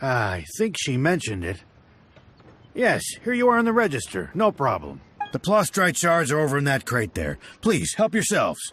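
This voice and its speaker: A man's voice: on the high side, huge pitch swings, moderate pace, a bit bright, slight background noise. A man voicing a helpful, businesslike warehouse clerk in a video game.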